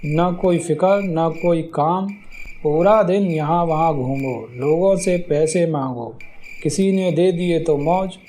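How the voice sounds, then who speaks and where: A man voicing an irritable, grudgingly kind young vegetable seller in an animated moral story. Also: fairly high pitch, slow pace, a little hiss or hum, rough recording. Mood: scornful. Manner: grumbling, exclaiming.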